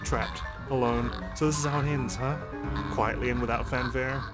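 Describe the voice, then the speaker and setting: Man, medium pitch, dramatic game read-aloud, profane reactions, background music. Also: fairly even intonation, normal speed. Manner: swears in reactions. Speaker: let's play host.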